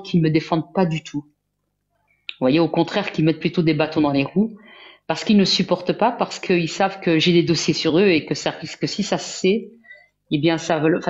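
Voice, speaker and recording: A woman from Belgium, a guest in a live interview, talking in an earnest, halting way with many restarts. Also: deep voice. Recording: dull lo-fi sound.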